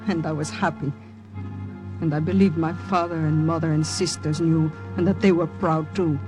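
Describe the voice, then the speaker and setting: An actress as a brave young woman spy in an old radio drama. Her voice is guarded and on the low-key side of melodrama, telling her wartime story with music behind her on an old recording. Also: fairly even intonation, normal speed, lightly acted, low-quality recording. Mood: reflective, tense. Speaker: lead actress and narrator. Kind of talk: 1950s radio drama acting, narrating and in dialogue.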